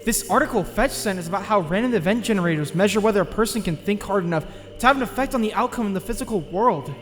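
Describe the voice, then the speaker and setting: Man voicing an earnest high-pitched teenage boy. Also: animated, fast, in a large hall, soft background music. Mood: thoughtful, uneasy. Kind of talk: dramatized comic dub, dialogue and first-person narration.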